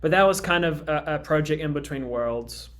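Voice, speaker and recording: A young man from New Zealand with a Kiwi accent, chatting casually as a musician guest on a radio interview, pausing often with ums and restarts. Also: on the high side, fairly bright tone. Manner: hesitant, many ums and restarts.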